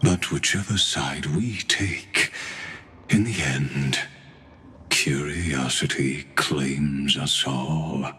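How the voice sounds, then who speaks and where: An actor playing a calm, detached dying scholar in a video game, speaking solemnly and wearily, with sighs. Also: male voice, a bass voice, breathy edge, full character voice. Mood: detached, wry. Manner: solemn, weary, deliberate, sighing. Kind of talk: dramatic video game voice acting.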